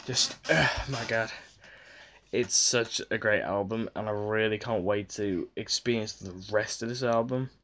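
A man in his twenties gushing in an excited reaction vlog, swinging between shouts and hushed whispers and swearing freely, filmed on a phone held at arm's length in a small bedroom. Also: fairly low pitch, measured pace. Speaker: vlogger.

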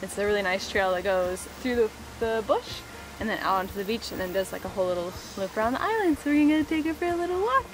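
A woman's voice: medium pitch, lively intonation, fast, music in the background, low-quality recording. A young woman vlogging while walking outdoors on a trail, talking in a chatty, upbeat way. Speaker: sailing vlogger. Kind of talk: casual vlog talk while walking.

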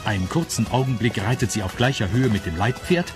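A man with a husky voice narrating a scripted children's radio story. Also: medium pitch, animated, fairly quick, over music.

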